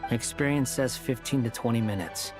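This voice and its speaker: A man's voice: mid-range voice, normal speed, lightly acted. A man playing a serious, guarded scholarly traveler in a game, talking in a terse, matter-of-fact way. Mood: grim, blunt. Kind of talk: dramatic game voice acting. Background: music.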